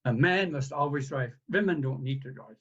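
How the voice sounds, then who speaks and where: A man's voice: medium pitch, expressive, normal speed, a bit bright, husky. An older man acting a stubborn, boastful old husband in an amateur audio play. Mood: defiant. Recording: muffled audio play with music behind.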